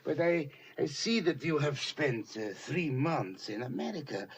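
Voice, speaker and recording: A man's voice: fairly high pitch, animated, a little slow, a little hiss or hum. A man playing a fussy, polite detective who questions people with formal courtesy. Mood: polite, probing. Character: fussy, polite middle-aged male detective. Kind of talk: film dialogue.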